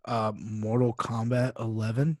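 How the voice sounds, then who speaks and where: A man's voice: medium pitch, little pitch movement, measured pace, a little mellow, dry recording. A man co-hosting a podcast in casual, conversational chat. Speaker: podcast co-host.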